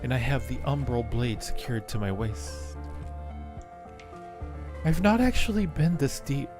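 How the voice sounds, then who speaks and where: A man's voice: medium pitch, sing-song, measured pace, a little mellow. Man voicing a devoted, fatalistic undead acolyte, music behind. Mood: brooding, resigned. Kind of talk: dramatic reading of game narration.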